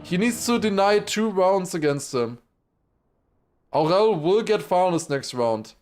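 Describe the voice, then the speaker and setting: A man livestreaming excited esports commentary mixed with casual chat, raising his voice to a shout at big moments. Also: high voice, measured pace. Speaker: esports watchparty streamer and commentator.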